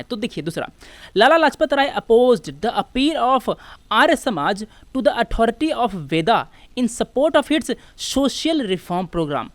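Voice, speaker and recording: Indian lady teacher going thru exam questions, really animated pitch, dry close sound, asks then answers herself. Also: no room sound. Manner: switches between Hindi and English. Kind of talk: instructional lecture walking through exam questions.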